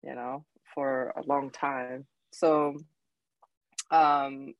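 A woman's voice: rumbling low, flat delivery, extremely slow, no room sound, muffled recording. A woman from South Korea in her thirties with a Korean accent, a guest chatting freely with many "you know" and "like" fillers. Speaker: guest, travel blogger. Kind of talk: casual interview answers.

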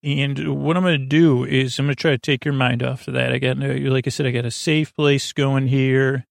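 Creaky-voiced man rambling gently, close and dry. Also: medium pitch, little pitch movement, moderate pace, no room sound. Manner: meandering, hesitant, lulling, self-deprecating. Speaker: podcast host. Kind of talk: rambling, tangent-filled sleep podcast monologue.

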